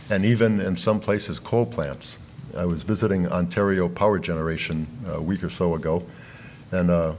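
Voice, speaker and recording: A middle-aged man giving a keynote talk at a lectern in a large hall, speaking in a measured, explanatory way with fillers and restarts. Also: low voice, darkish tone, slight background noise. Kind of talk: conference keynote lecture.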